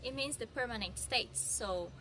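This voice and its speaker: High-voiced young woman from Brazil. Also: unhurried, bright, forceful tone, poor audio. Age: 20s. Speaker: language teacher. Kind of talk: explaining verbs in a lesson.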